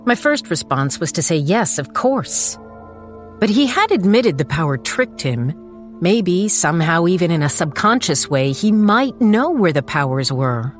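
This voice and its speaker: A woman's voice: on the low side, sing-song, a little slow, faint music behind. A woman playing a determined, wry small-town police chief who narrates a dramatized audiobook in a firm, dry way. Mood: guarded, reflective. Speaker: narrator and lead character.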